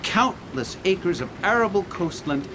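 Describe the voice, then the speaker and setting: A man's voice: sits high, lively intonation, a little slow, background noise. An actor playing a middle-aged, grandiose inventor father who is blunt and condescending, in a comic radio theatre style. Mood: dreamy, enthusiastic. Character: pompous, teasing, visionary inventor father.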